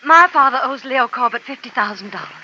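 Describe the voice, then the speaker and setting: A woman's voice: high voice, animated, quick pace. An actress playing an anxious young woman pleading for her father, in a vintage radio drama on a dull, hissy old recording. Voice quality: pleading, earnest. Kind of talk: 1950s radio drama acting.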